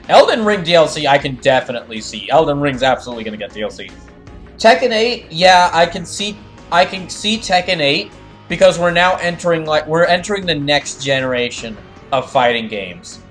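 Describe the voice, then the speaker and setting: A man with a fairly high voice talks off the cuff as a game streamer. He rambles, circling back over his phrases while he reads chat and comments, with music playing clearly behind him. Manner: rambling, repeats phrases as thoughts form. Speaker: streamer doing live fandub of a game and reading chat. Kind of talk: casual gaming livestream chat and commentary.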